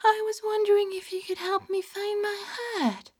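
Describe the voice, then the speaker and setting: A woman voicing a young, lonely female sheep from a bedtime story, in a high sweet sing-song voice. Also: level tone, normal speed. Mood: hopeful. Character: young weepy lost female sleep sheep.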